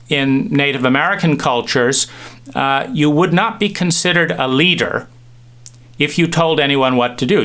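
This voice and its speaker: A middle-aged man giving a reflective talk, musing with pauses and restarts as he tells stories. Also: medium pitch, a bit bright. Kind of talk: reflective monologue with anecdotes.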